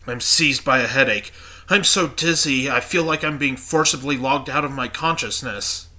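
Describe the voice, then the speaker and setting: Man reading a haunted young student heroine's monologue, congested, very bright tone. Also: medium pitch, normal speed. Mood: uneasy. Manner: reading inner monologue aloud. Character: anxious young female student, uneasy and haunted.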